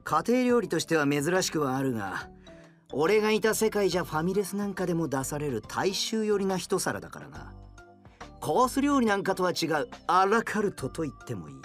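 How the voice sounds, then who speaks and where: An actor playing an arrogant, grudging young hero and gourmet in an anime audio drama, with a pompous, blustering, rough way of speaking. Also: male voice, sits high, sing-song, fairly quick, faint music behind. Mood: haughty.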